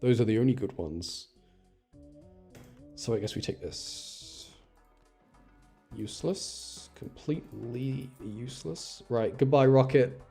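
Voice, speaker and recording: A man's voice: medium pitch, slow, gravelly, long pauses, dark tone, faint music behind. A man giving casual, chatty live game commentary, thinking aloud and correcting himself with mild exasperation. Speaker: game streamer.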